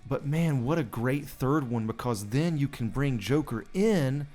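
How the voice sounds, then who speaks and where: A man's voice: mid-range voice, animated, unhurried, darkish tone, soft background music. A man co-hosting a casual podcast chat, blunt and offhand, swearing freely. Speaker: podcast co-host.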